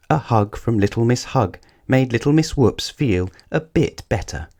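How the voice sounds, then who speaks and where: A man reading a children's picture book aloud as a storyteller, with deliberate, dramatic emphasis. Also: on the low side, darkish tone. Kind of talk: expressive scripted children's story narration.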